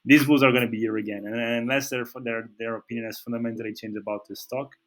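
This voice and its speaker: A man's voice: medium pitch, fairly even intonation, quick pace, a bit bright, faint music behind. A man with a Portuguese accent, a native Portuguese speaker, hosting a trading analysis and talking through charts with many fillers and restarts. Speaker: trading channel host. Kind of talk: screen-share market analysis.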